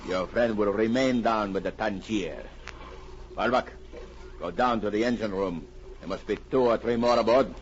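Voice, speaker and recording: A cold, methodical smuggling boss acted by a man in a vintage radio adventure. He gives menacing, deliberate orders in a low voice on a muffled, noisy recording. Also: natural voice. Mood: coldly cruel. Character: cold, methodical male gunrunner ringleader. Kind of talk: 1940s radio villain acting.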